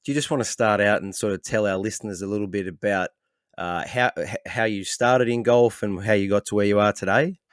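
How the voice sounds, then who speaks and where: Easygoing low-voiced male podcast host. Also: fairly even intonation, fairly quick, clear, resonant tone, no room sound, clean studio sound. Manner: easygoing, uses "mate", hedges questions with fillers. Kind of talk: casual podcast interview.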